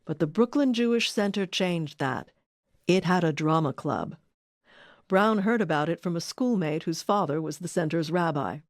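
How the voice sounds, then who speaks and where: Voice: medium pitch, expressive, moderate pace, no echo, clean studio sound. A documentary narrator reading a scripted history.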